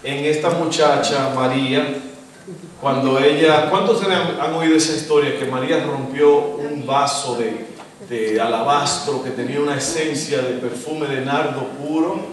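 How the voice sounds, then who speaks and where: A middle-aged Dominican man with a Dominican Spanish accent, preaching a sermon as guest pastor, animated and asking the congregation questions. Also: fairly high pitch, moderate pace, recorded from a distance. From Dominican Republic. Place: church stage, indoors, large hall. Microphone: handheld mic. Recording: echoey hall, recorded from afar.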